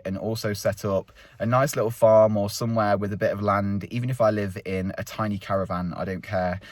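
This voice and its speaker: A man's voice: on the low side, dry recording, studio quality. A man in his thirties rambling openly and chattily as a vlog host while out on a countryside walk. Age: 30s. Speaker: vlogger host. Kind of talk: casual walk-and-talk vlog.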